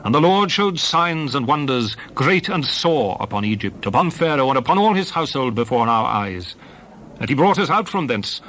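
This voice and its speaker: A man playing a solemn prophet exhorting his people in a dramatized scripture reading, with measured, declamatory oratory in archaic English. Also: medium pitch, fairly bright tone, a little hiss or hum. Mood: solemn, earnest.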